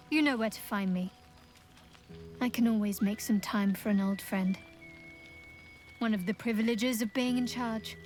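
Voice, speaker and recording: A woman performs a devout dark priestess from a video game, commanding yet wistful. Her voice sits fairly high, and she speaks formally and intimately, with measured, wry poise. Faint music plays behind her. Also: lightly acted. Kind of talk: video game character dialogue. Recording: game dialogue over background music.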